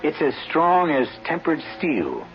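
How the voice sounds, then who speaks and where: A man hosting an old radio show with a theatrical monologue, musing and deliberate with dramatic pauses. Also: on the high side, huge pitch swings, music in the background. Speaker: radio host. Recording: muffled old radio broadcast.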